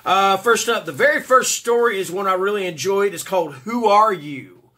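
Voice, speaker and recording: Congested man with a bright, high voice. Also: normal speed. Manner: rambling, many fillers and restarts. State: congested, stuffy nose. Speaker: book reviewer, channel host. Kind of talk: casual spoken book review. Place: small dim home room, seated indoors.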